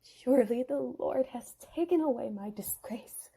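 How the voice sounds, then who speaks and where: Rachel, a woman playing her high and eager. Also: unhurried, creaky, mellow, husky, heavily acted, poor audio. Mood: pleading whisper. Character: eager young woman Rachel, longing for sons.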